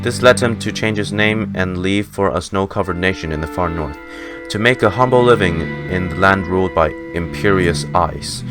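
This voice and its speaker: A man with a low voice does a casual gaming stream, reacting and reading lore aloud. He trips over a word now and then, and guitar-laced game music plays clearly behind him.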